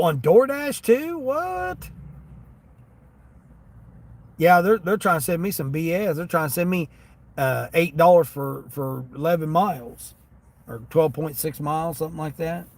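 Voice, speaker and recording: A man's voice: on the high side, vocal fry. A man with a slightly Southern American accent chats casually and ramblingly to livestream viewers in a folksy way. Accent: American with a Southern tinge. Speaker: livestream host, gig delivery driver. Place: inside a parked vehicle. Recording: steady vehicle and ambient noise.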